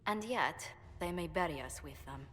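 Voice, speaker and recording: A woman playing a cool, grave spymaster in a fantasy game, speaking in a measured, ominous way. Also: fairly low pitch, expressive, fairly bright tone. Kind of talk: video game cutscene acting. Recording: long reverb, clear background noise.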